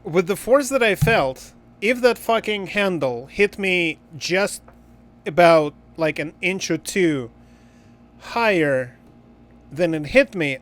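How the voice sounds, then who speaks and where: A man's voice: a little high, fairly bright tone. A man livestreaming a game and chatting casually, in a blunt, joking and profane way. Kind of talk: casual livestream chat while gaming.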